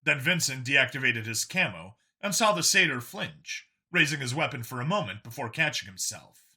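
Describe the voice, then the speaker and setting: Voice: medium pitch, animated, normal speed, bright, forceful tone, husky. A narrator reading a scripted science fiction story as an audiobook.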